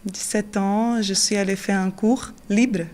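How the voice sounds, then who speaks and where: Brazilian woman speaking halting French. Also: mid-range voice, fairly even intonation, darkish tone. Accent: Brazilian Portuguese. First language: Portuguese. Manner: searching non-native French, frequent fillers and quick laughs. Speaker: drama teacher and actress. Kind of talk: interview answers.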